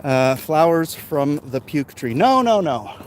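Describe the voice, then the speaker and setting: A man in his forties chatting casually and haltingly as a livestream host while walking outside, talking into a handheld phone. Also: a little high, very animated. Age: 40s. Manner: rambling, halting, breaking off to talk to people with him. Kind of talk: casual livestream chat while walking outdoors, reading viewer comments aloud. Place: outdoors, walking along a street. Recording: outdoor street noise on phone.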